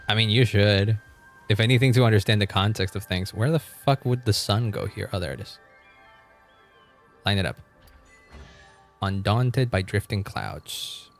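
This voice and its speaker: Low-voiced man rambling through a game stream. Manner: informal, rambling, mild swearing. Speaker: game livestreamer. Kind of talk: casual game-stream commentary, chatting with viewers.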